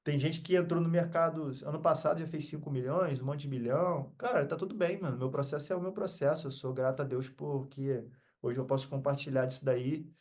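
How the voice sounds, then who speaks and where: A man's voice: a little high, steady tone, fairly quick, a little mellow. A Brazilian man talking as a podcast guest in a casual, heartfelt motivational chat, earnest and full of slang.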